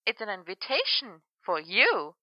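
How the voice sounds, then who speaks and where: A woman's voice: mid-range voice, huge pitch swings, a little slow, no room sound, muffled recording. A woman voicing a bubbly, flirtatious female dance coach with a teasing, sing-song manner.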